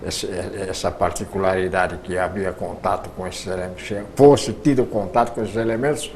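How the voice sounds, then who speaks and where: A man's voice: deep voice, fairly even intonation, moderate pace, darkish tone, a little hiss or hum. An older man with a Brazilian accent answering informally as an interview guest, rambling and often repeating his words. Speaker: interview guest, radio broadcaster.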